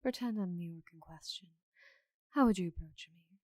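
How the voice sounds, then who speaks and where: A woman voicing a giant female orc knight, teasing, confident and tenderly flustered, in a soft, intimate ASMR roleplay with breathy murmurs. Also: fairly low pitch, moderate pace, no room sound, close to an everyday voice. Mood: encouraging, confident.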